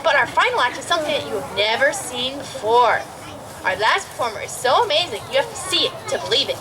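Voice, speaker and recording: A woman's voice: sits high, expressive, quick pace, noisy background. A woman hosting a backyard puppet show, announcing the acts with showy build-up.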